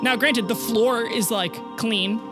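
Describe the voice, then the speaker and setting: A woman's voice: fairly high pitch, takes it slowly. A woman game master improvising descriptive narration for a tabletop adventure. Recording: prominent music under the voice.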